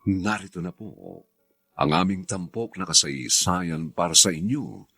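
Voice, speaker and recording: A man introduces a radio drama in a dramatic scripted style. His voice is gravelly and slow, with very wide swoops in pitch. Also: big pitch swings, sharp tone, soft background music. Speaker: radio drama narrator and host.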